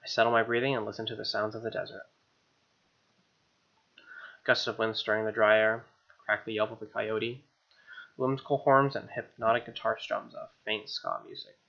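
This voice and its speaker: A man's voice: lowish voice, flat delivery, normal speed, gravelly, long pauses, lo-fi sound. A man with an American accent reading a visual novel aloud as a gaming host, with casual asides. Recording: game music behind.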